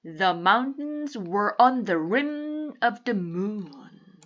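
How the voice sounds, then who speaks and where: A woman reading a children's picture book aloud with deliberate, teacherly enunciation. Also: medium pitch, animated, muffled recording. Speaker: storybook reader.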